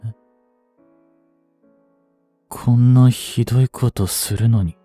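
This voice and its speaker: A man acts out an obsessive, lonely young stalker in a voice drama. He speaks slowly in a dark, mellow tone, coaxing and unstable, as if right beside the listener. Faint music sits behind the close, dry voice. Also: medium pitch, steady tone, dry recording. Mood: agitated, jealous. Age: 20s. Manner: soft, coaxing, brooding delivery with drawn-out pauses. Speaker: voice actor. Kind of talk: intimate Japanese voice drama, addressed to the listener.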